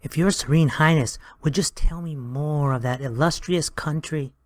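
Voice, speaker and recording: A woman voicing a small, loyal, cheeky male servant with a lowered, gruff, firm delivery. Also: moderate pace, a little mellow, no room sound, close to an everyday voice. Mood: comforting. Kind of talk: storybook reading.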